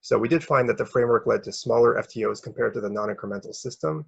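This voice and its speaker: Male academic presenter, very husky and gravelly, halting delivery, slightly muffled recording. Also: medium pitch, no room sound. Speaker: researcher presenting a paper.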